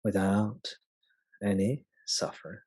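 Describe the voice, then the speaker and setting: A man guiding a meditation as a spiritual teacher, speaking gently and unhurriedly with long pauses. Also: on the low side, fairly even intonation, breathy edge, no room sound, rough recording. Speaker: spiritual teacher leading satsang. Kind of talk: slow guided meditation.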